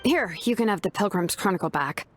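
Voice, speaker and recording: A woman acting young female game character, laid-back, frank, sincere, casual delivery, expressive pitch movement, faint music behind. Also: fairly quick, natural voice. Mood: earnest. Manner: casual, frank, slightly hesitant.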